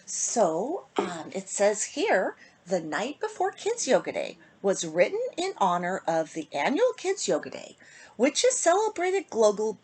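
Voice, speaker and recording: A woman reading a rhyming picture book aloud to children in an expressive, sing-song manner, with phrases that often end softly. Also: mid-range voice, slightly rough. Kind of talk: storybook read-aloud for children.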